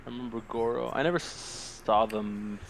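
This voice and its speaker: A man's voice: medium pitch, moderate pace, vocal fry, slight background noise, low-quality recording. A man co-hosting a podcast, bantering casually about films and games in a joking, profane way. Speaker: podcast co-host.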